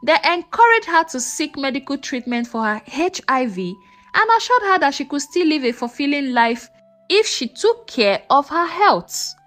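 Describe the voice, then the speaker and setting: A woman telling a scripted folktale as a storyteller, in a measured, expressive and dramatic way. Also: sits high, soft background music. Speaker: storyteller narrator.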